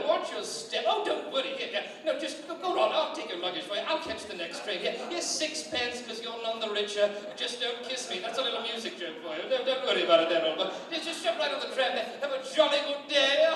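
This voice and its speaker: A man's voice: very high voice, lively intonation, moderate pace. A man doing a posh polite British gent. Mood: chirpy polite. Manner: fussy, courteous, chirpy. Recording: echoey hall from far off with loud crowd.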